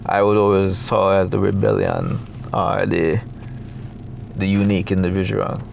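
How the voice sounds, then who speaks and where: A young adult man from Jamaica with a Jamaican accent, talking in a reflective, halting way with many fillers as a guest in a casual interview. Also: low-pitched, expressive, creaky, darkish tone, lo-fi sound, a little hiss or hum.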